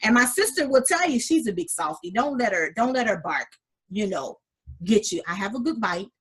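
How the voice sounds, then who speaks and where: A woman co-hosting a casual talk show, animated and sassy, calling listeners honey. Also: on the high side, moderate pace, breathy edge. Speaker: podcast co-host. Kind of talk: casual chat.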